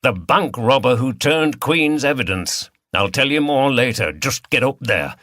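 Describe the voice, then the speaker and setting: A man voicing an authoritative, brisk police detective in charge in a mystery audiobook. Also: medium pitch, expressive, pushed and bright, dry recording, studio quality, pitched above the performer's own voice. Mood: urgent. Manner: authoritative, explanatory.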